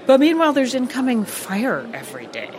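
A woman's voice: fairly high pitch, wildly swooping pitch, brisk, darkish tone. A woman radio interviewer asking probing questions at the busy entrance of a conference.

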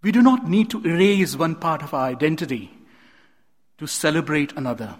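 Man, earnest oratory, slightly high voice, speech. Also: expressive, moderate pace. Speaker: award speech giver.

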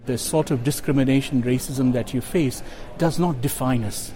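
A man's voice: mid-range voice, normal speed, mellow. A man giving an earnest, oratorical speech. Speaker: award speech giver.

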